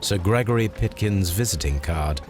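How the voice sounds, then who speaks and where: A deep english victorian detective for a video game, shrewd n commanding, very animated pitch, music behind. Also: male voice, moderate pace. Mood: musing. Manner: precise, formal, clipped questioning. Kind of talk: video game cutscene acting.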